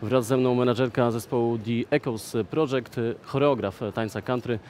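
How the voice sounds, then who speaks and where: A young man hosting a magazine interview, speaking in a lively presenter manner with filler pauses, recorded in a shopping mall on a headset mic. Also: medium pitch, a little hiss or hum. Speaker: host.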